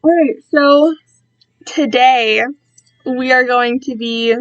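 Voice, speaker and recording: A fairly high-voiced woman talks at an unhurried pace, as if live to her viewers. She rambles casually, gets sidetracked and puts herself down. The sound is dry, with little room around the voice. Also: smooth, no echo, muffled recording. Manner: rambling, self-deprecating, easily distracted. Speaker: streamer reading own fan-written work to viewers. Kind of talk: casual live-stream chat.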